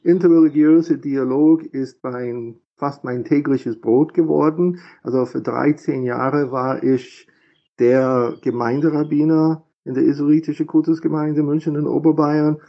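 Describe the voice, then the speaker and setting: A man's voice: a little high, steady tone, unhurried, gravelly, muffled recording. A middle-aged man from Philadelphia with an American accent, a rabbi answering interview questions in a hesitant way, with many fillers and restarts. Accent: American English. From USA, Philadelphia. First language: English. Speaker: rabbi, guest.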